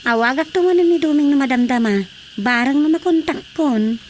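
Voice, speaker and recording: A woman's voice: sits high, normal speed, loud music behind the voice, close to an everyday voice. A woman playing a caring young daughter in a radio drama. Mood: concerned.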